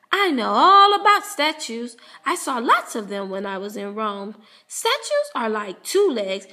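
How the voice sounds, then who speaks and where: Lady doing a kids storybook read aloud, really slow, super up and down voice, playful. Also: a little high. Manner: playful, animated, with drawn-out sound words. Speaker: teacher reading a children's book aloud. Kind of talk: expressive storybook read-aloud as a puppy narrator.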